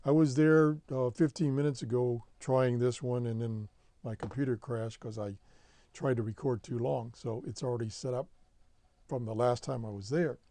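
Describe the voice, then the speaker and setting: A man's voice: mid-range voice, fairly even intonation, normal speed, dark tone, no room sound. An American man hosting a hobby show, giving a casual, plain-spoken tutorial step by step over a screen recording. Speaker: host of a shortwave radio hobby show.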